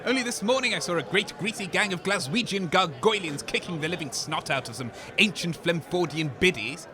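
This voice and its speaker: A man's voice: sits high, animated, a little fast, crowd noise. A man playing a grumpy, scheming radio co-host in a comedy broadcast, sneering and grumbling theatrically.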